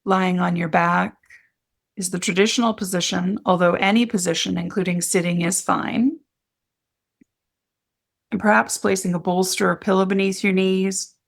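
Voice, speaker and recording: A woman with a lowish voice and a noticeable fry guides a meditation. She speaks as an instructor, in soothing phrases broken by pauses, giving gentle instructions. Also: fairly even intonation.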